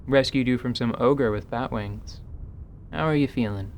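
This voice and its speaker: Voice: medium pitch, normal speed, slight background noise, natural voice. A man voicing a friendly, casual adventurer with an easygoing, colloquial manner in a fantasy drama. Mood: friendly, coaxing.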